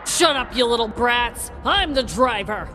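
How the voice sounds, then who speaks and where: A woman's voice: on the high side, lively intonation, measured pace, over music. A woman voicing a frazzled, exasperated female school bus driver at the end of her rope in a comedic story. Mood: angry, exasperated.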